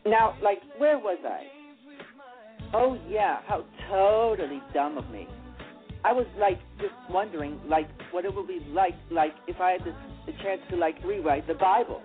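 A woman's voice: lowish voice, animated, moderate pace, faint music behind, natural voice. A woman playing a snobby valley-girl student. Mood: condescending. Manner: valley-girl "like" filler, whiny. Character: snobby preachy young woman student, bossy. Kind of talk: amateur radio play acting. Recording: tinny phone-line sound.

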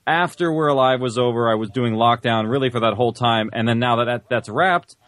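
A man talks casually as an interview guest in a very dry recording. His voice sits at a middle pitch. He explains things informally and modestly. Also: fairly quick, fairly bright tone, dry recording. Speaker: interview guest, audio drama creator.

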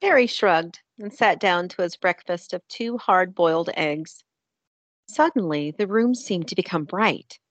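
A woman's voice: lowish voice, a little slow, no room sound, lo-fi sound. Woman narrator, very dry close sound. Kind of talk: scripted drama narration.

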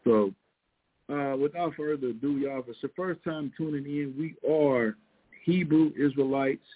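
A man at mid pitch hosts a call-in Bible talk show over a tinny, phone-like line. His delivery is loose and unhurried, and he keeps tossing in "man" and "uh" between phrases. It sounds like casual teaching on internet radio rather than a studio read. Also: breathy edge. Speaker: podcast host and Bible teacher.

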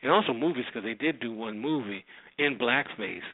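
A man's voice: medium pitch, expressive, normal speed, slightly rough, phone-quality sound. A man hosting a radio show, giving unscripted casual commentary and circling back over his points.

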